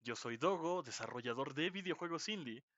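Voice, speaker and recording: A man's voice: on the high side, animated, normal speed, a bit bright, no room sound. A young man from Mexico, a podcast host and indie game developer, chatting casually and laughing often. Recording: dull lo-fi mic, dry.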